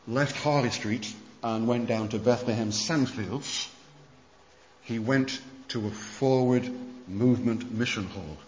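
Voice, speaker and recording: Male preacher, lively storyteller, low voice, dull hall recording with faint background noise. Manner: animated storytelling with many restarts. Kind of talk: sermon lecture on church history. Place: conference hall. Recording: muffled hall recording with long reverb.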